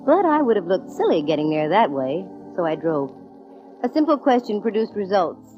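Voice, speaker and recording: An actress playing a cool, wisecracking female private detective in 1940s radio style, brisk, dry and self-assured. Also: medium pitch, sing-song, lightly acted. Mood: wry narrating. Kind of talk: 1940s radio acting, first-person detective narration. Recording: dull vintage radio recording, music underneath.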